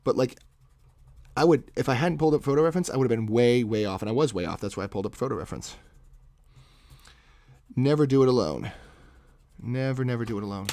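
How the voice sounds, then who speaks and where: A man's voice: mid-range voice, expressive, quick pace, long pauses, darkish tone, no echo. A man with a commanding, salesman-like voice chats casually to viewers while sketching on a livestream, rambling and correcting himself, close on the mic. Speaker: art livestream host. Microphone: microphone close to the face.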